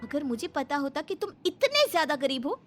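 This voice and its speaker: A resentful young wife , acted by a woman, in a family drama. She is high-voiced, proud and indignant, complaining about her lot. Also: sing-song, normal speed, music in the background. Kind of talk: dramatised family story acting.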